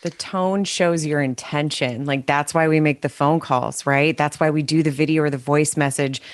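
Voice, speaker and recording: Low-pitched woman, chatty and playful on a sales panel. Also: steady tone, moderate pace, dry recording. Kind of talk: casual panel discussion.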